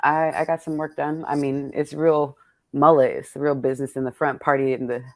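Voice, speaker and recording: A woman's voice: sits low, level tone, brisk. A woman hosting a poetry event in casual, reflective conversation, thinking aloud in a playful, colloquial way. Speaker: poet hosting an event with her readers.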